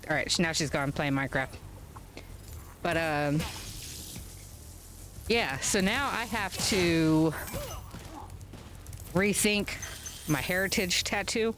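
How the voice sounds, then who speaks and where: A woman's voice: fairly low pitch, background noise. A woman hosting a gaming stream, chatting casually and rambling with fillers as she plays at home. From United States. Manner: rambling, unhurried, with frequent fillers and chuckles. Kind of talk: casual chat while playing a game. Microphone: webcam setup.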